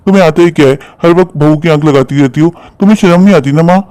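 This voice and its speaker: A selfish, irritable grown son voiced by a man in a cartoon tale. He scolds impatiently at a quick clip, in a very dry recording. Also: a little high, a little mellow, no echo, natural voice. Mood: angry, scornful. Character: callous, irritable grown son resenting his mother.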